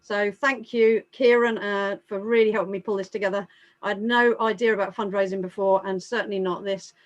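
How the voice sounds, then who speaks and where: A woman's voice: medium pitch, fairly even intonation, a little fast, highs cut off. An English woman speaking informally and earnestly as a scout leader, enthusiastic and emphatic in making her appeal. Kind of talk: informal appeal at an online awards evening.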